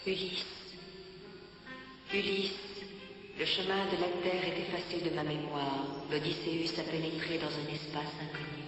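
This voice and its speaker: An actor playing a solemn, otherworldly ship's computer in a cartoon, with drawn-out delivery. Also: male voice, on the high side, flat delivery, natural voice. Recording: distant, heavily echoing, under loud music.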